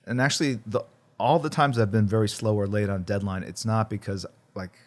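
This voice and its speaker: A man in his fifties whose first language is Korean, chatting as an interview guest about his work and family, relaxed and reflective, chuckling often. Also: on the low side, brisk. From United States, Midwest. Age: 50s. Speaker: guest, comic artist. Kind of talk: casual interview chat.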